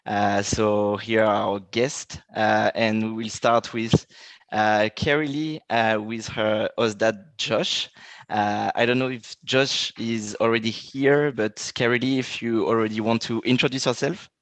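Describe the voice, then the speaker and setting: A man hosting an online information session, halting with frequent "uh" before phrases. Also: lowish voice, steady tone, no room sound. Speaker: webinar host. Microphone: video call.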